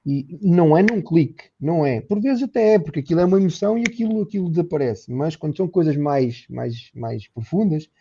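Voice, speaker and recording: Portuguese-accented male therapist guest, slightly high and gravelly, earnest and explanatory. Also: lively intonation, a little fast, hardly any pauses, mellow, highs cut off, slight background noise. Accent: European Portuguese. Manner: earnest, explanatory, repeats words while thinking. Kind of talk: conversational podcast interview.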